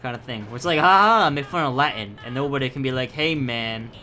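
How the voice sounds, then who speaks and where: Young male let's-player, mid pitch, bright, chatty, swears often and jumps between tangents. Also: fast, slight background noise. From Philippines. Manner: rambling, profane, self-mocking tangents. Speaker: gaming YouTuber. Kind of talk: casual gameplay commentary.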